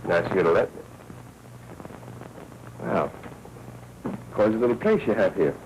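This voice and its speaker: Very low American male voice, wry probing noir reporter, clipped delivery, muffled old film soundtrack. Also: lively intonation, a little slow, darkish tone, a little hiss or hum. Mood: breezy, wry. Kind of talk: 1940s film noir acting.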